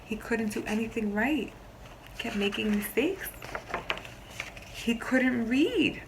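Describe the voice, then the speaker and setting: Woman reading a storybook at an unhurried pace. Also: a little high, vocal fry, a little hiss or hum, low-quality recording. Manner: expressive storybook delivery, explains simply, pauses to question listeners. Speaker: kindergarten teacher reading aloud. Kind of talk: read-aloud storytime with questions to young children.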